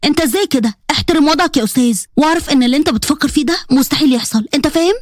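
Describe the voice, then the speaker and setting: Woman acting a high-voiced, sensitive young Egyptian woman. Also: racing, no room sound, studio quality, close to an everyday voice. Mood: indignant. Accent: Egyptian Arabic.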